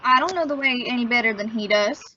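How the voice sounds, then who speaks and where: A woman's voice: a little high, fast, bright, forceful tone. A woman playing a contrary, grumbling young teenage son in an old-time radio comedy. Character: argumentative, plain-spoken young teenage boy, the son. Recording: muffled recording, faint noise.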